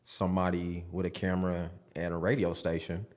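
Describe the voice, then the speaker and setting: A man's voice: low-pitched, flat delivery, darkish tone. A man of forty, a podcast guest, telling his story openly in a studio with frequent restarts. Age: 40s. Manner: open, storytelling, halting restarts. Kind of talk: casual studio interview.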